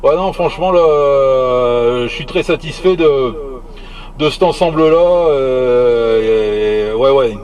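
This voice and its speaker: A man's voice: mid-range voice, takes it slowly, a little mellow, lo-fi sound, slight background noise. A man chatting casually over CB radio as a hobbyist, searching for words and repeating himself.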